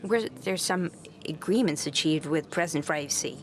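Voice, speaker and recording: Low-voiced woman interpreting. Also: a little hiss or hum. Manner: measured, formal, occasional restarts. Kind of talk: simultaneous interpretation.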